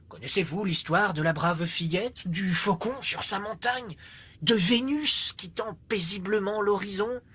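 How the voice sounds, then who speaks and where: A man performing a poetic monologue as a storyteller, theatrical and moving between hushed and intense delivery. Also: high-pitched, breathy edge. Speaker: writer and storyteller.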